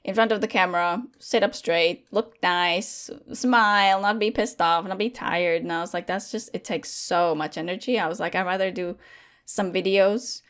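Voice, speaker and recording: Chatty young woman, lowish voice. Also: normal speed, smooth. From Latvia. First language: Latvian. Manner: chatty and animated, many fillers and restarts. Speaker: guest content creator. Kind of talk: casual interview chat.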